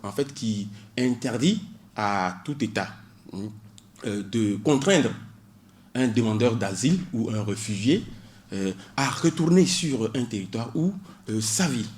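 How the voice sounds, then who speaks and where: A man's voice: mid-range voice, lively intonation, a little slow, darkish tone, slight background noise. A man, a doctoral student in public law, explaining asylum law on a radio show with many hesitations and cited articles. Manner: hesitant, many fillers, cites legal articles.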